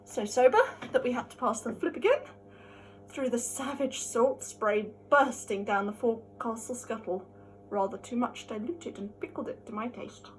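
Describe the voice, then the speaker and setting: A woman giving an amateur dramatic reading of a classic novel, expressive and emphatic, drawing out some of her words. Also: on the high side, moderate pace, a little hiss or hum, low-quality recording. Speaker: amateur reader.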